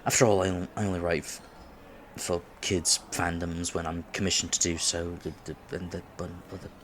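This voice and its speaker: A man's voice: low voice, level tone, moderate pace, slight background noise, close to an everyday voice. A man in his twenties playing a nervous, polite young silver fox kitsune who wants to seem mature, in an ASMR date roleplay, speaking formally with stammers. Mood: sheepish, rambling. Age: 20s. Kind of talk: ASMR roleplay, scripted one-sided date conversation.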